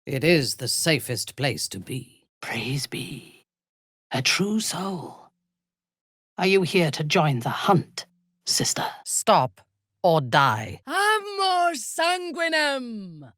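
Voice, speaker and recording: A woman playing a commanding, ruthless zealot warrior in a video game, speaking with a low husky voice and a measured, imperious, menacing manner. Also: big pitch swings, fairly bright tone, no echo, studio quality, heavily acted. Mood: devout, intense, then menacing, stern, then fierce battle cry. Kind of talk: video game character voice lines.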